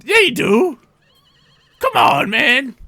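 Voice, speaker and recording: Shrill, excitable jailed goblin. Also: male voice, slow, creaky, full character voice. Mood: frantic, excited. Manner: shouting, insistent. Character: pleading, excitable imprisoned goblin cousin.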